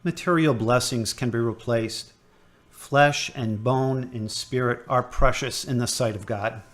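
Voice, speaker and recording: Gentle hospital chaplain, a guy acting him, slow and measured, audio drama. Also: medium pitch, natural voice. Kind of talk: amateur radio drama acting.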